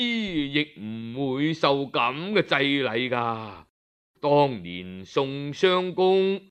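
A man voicing an earnest court minister in a storytelling performance, solemn, measured and imploring. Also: a little high, fairly bright tone, clean tone, voice pushed down, exaggerated voice. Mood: pleading. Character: grave, earnest male court minister pleading with his king.

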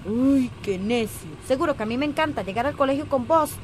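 A woman's voice: high-pitched, expressive, brisk, background noise. A woman with a Costa Rican accent playing a sarcastic, bickering teenage daughter in a radio drama.

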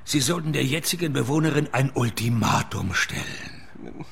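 An actor playing a sardonic male private detective in a radio play, narrating and talking in a dry, deadpan manner. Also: medium pitch, normal speed, creaky, slight background noise. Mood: deadpan, blunt.

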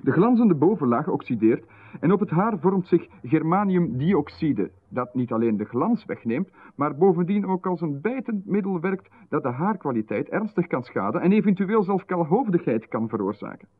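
A smooth, insistent visitor. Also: male voice, on the high side, sing-song, normal speed. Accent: Flemish. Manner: polite, explaining at length with technical jargon. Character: smooth-talking male visitor, middle-aged, insistent and eerie. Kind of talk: 1990s radio drama. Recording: phone-like radio recording.

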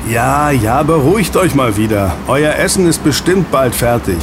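An actor in an audio drama playing a friendly ex-agent who runs a snack bar, soothing and chatty. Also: male voice, medium pitch, expressive, moderate pace, music in the background, lightly acted. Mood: reassuring. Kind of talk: German audio drama dialogue. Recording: clear street and traffic ambience. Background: outdoor city street with vehicles.

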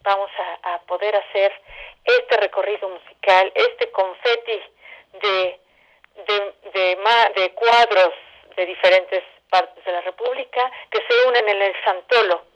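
A woman's voice: mid-range voice, measured pace, highs cut off. A woman with a Mexican accent speaking courteously as a guest in a radio interview. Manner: courteous, gracious. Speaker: theatre director and interview guest.

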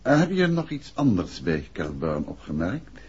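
Probing low-voiced detective, old muffled radio. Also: male voice, big pitch swings, normal speed, husky, heavily acted. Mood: calm, probing. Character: composed, probing male amateur detective, courteous but insistent. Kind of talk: 1960s Dutch radio drama acting. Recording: muffled old radio recording, faint hiss.

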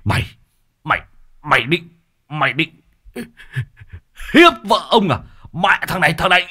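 A man's voice: mid-range voice, sing-song, normal speed, fairly bright tone, voice pushed up. A man voicing a hot-tempered village husband in an audio story, blustering, coarse and stammering. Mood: outraged.

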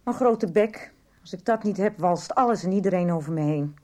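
A woman's voice: lowish voice, normal speed, a little mellow, lightly acted. An actress playing a distraught, self-blaming mother who drinks, in a 1990s radio soap. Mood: contrite. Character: distraught, guilt-ridden, foul-mouthed mother who drinks.

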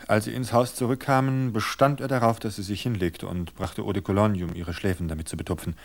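A man reading a suspense story aloud in a measured, tense narration. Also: lowish voice, fairly even intonation, creaky, slight background noise.

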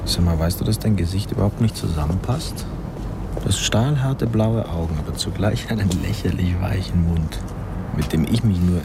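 Pompous boastful linguist, really low voice, swings up and down, loud car noise behind. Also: male voice, moderate pace, gravelly. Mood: playful boasting. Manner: pompous, grandiose, mock-indignant. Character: pompous, eccentric Dutch linguist, boastful and mocking. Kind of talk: radio play acting in natural dialogue.

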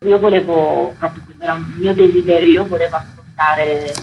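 A woman's voice: low-pitched, steady tone, a little fast. A woman explaining her work in an interview, in long running sentences. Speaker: theatre director being interviewed. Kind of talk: interview talk. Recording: dull sound, faint background noise.

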